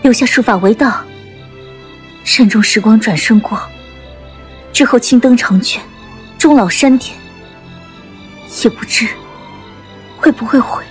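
A woman playing a reserved young martial disciple in an audiobook drama, gentle, hesitant and introspective. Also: fairly high pitch, a little slow, long pauses, faint music behind, lightly acted. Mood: wistful, troubled. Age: young adult.

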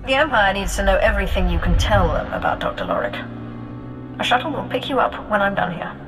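A woman's voice: mid-range voice, lively intonation, a little fast, lightly acted. A woman playing a stern, coolly businesslike Imperial agent in a video game. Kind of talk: video game cutscene dialogue. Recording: prominent game music behind.

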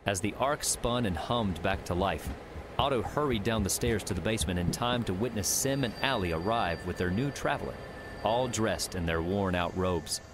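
A man's voice: lowish voice, normal speed, noisy background. A man reading scripted audiobook narration.

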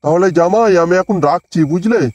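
A man's voice: high-pitched, brisk, a little mellow, no echo, muffled recording, lightly acted. A man voicing a fussy, two-faced older father-in-law in a comedy cartoon. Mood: coaxing. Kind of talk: Bengali comedy cartoon dubbing. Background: background music.

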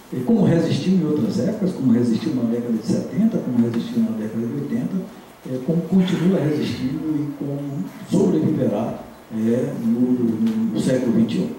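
A man's voice: mid-range voice, expressive, brisk, mellow. An older man giving earnest, rhetorical opening remarks at an academic event. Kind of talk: public speech. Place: large dim seminar room. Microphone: handheld microphone, recorded by distant camera. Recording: echoey room, distant mic, background noise.

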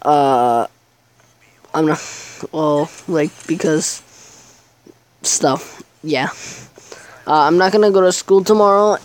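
A man's voice: a little high, a little hiss or hum. A teenage boy chatting casually over his gameplay video, rambling and hesitant, with many fillers. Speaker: gaming YouTuber.

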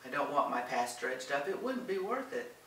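A man's voice: on the high side, big pitch swings, normal speed, a bit bright, reverberant, recorded from a distance, slight background noise. A man reading the part of a worried, proud man in a storybook, reluctant and pleading. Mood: guarded. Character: worried, proud man hiding a troubled past.

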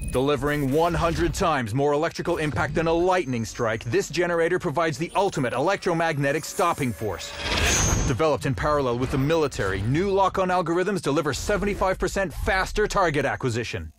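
A slick corporate technology presenter from a video game, acted by a man, unveiling hardware in measured, dramatic promotional narration. The male voice is a little high, over clearly audible clicks and vehicle noise. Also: lively intonation, close to an everyday voice. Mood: slick, confident.

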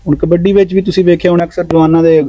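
A man's voice: high-pitched, flat delivery, racing, warm tone, no room sound. A man, a stone-lifting champion and coach, answering interview questions earnestly, recorded outdoors on a lawn at night. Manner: earnest, peppers speech with "theek hai".

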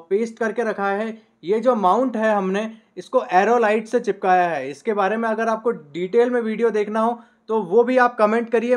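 Young male vlogger, fairly high voice, casual gear walkthrough with lots of "uh", podcast mic at a desk. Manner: relaxed, many "uh" fillers, shows each item. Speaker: moto vlogger reviewing his gear. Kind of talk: casual product review to camera. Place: small indoor room at a desk. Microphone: podcast mic on a boom arm by the desk.

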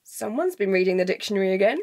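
A woman's voice: mid-range voice, animated, fast, darkish tone, close to an everyday voice. A woman playing a bored, bossy, prickly woman in an audio drama, with a dry and blunt manner. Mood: blunt, exasperated. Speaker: actor.

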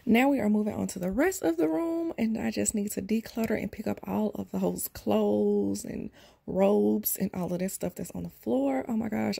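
A woman's voice: mid-range voice, gravelly, mellow, husky. A woman in her thirties narrating a cleaning vlog in a chatty, self-deprecating voice-over. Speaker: vlogger. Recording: voice-over over background music.

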